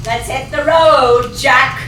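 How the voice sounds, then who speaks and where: Corny, playful desert wanderer played by a woman, high and unhurried, children's stage play, heavy echo. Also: fairly even intonation, over music. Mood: teasing. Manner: playful, jokey. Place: small theatre stage, distant camera. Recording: very echoey stage, far off, noisy.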